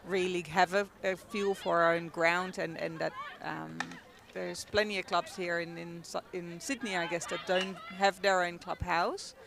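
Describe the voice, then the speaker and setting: A woman's voice: lowish voice, fairly even intonation, measured pace, gravelly, hardly any pauses, a crowd in the background. A young Dutch woman with a Dutch accent, chatting casually as a guest commentator at a hockey game, with lots of ums and laughs. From Netherlands. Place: outdoors at a field.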